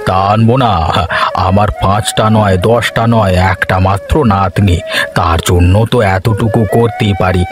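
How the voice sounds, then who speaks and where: A man's voice: fairly low pitch, fairly even intonation, moderate pace, close to an everyday voice. Man playing a genial, fond old grandfather. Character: affectionate old village grandfather bearing gifts. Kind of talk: cartoon voice acting. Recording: music clearly behind the voice.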